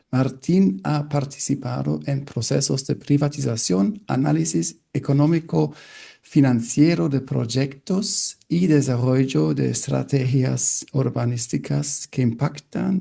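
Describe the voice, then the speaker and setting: Male interview host, hesitant delivery, heavy vocal fry, mellow dark tone. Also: medium pitch. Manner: hesitant, apologetic about his Spanish. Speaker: podcast host. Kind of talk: interview hosting.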